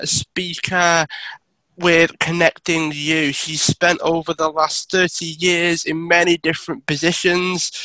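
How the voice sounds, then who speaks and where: A man's voice: a little high, sharp tone, no room sound, poor audio. A man hosting an interview show, reading introductions and putting questions to a guest.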